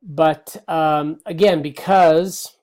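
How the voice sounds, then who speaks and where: A middle-aged male biology teacher giving a recorded slide lecture, slightly hoarse, relaxed and explanatory with many fillers and restarts. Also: a little high, very slow. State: slightly hoarse, clearing throat.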